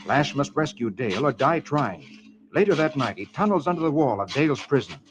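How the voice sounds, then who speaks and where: A man's voice: mid-range voice, animated, fairly quick. A man reading comics to children on 1940s radio in a theatrical, dramatic scripted style. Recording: dull, noisy vintage radio recording.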